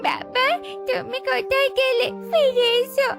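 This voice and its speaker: A woman's voice: very high voice, flat delivery, measured pace, creaky, over music, heavily acted. A woman voicing a plaintive, childlike little bird chick in a children's cartoon. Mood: tearful, frightened.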